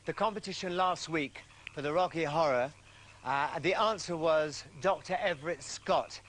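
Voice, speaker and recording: A man's voice: fairly high pitch, moderate pace, slight background noise. A man hosting a TV chat show in a camp, jokey way, flamboyant and teasing, often tripping over his words. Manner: flamboyant, teasing and excitable, with frequent restarts.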